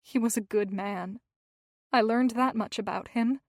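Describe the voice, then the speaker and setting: A woman's voice: fairly high pitch, measured pace, no room sound, clean studio sound. A woman voicing a grieving young wife who pleads and breaks with emotion. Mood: reflective.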